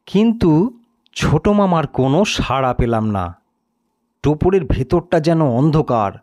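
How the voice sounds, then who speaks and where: Slow, expressive male storyteller. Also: fairly high pitch. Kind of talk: dramatic scripted story narration.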